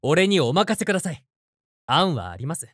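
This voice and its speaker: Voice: mid-range voice, big pitch swings, normal speed, fairly bright tone, slightly rough, no echo, studio quality. An actor voicing a confident, terse teenage boy strategist in an anime voice drama, with self-assured delivery.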